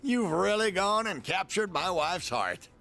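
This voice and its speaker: A man playing a genial, folksy old husband in a video game, with a creaky voice and a joking way of talking. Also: sits high, big pitch swings, unhurried, sharp tone, full character voice. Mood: jovial. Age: older. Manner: folksy, jovial.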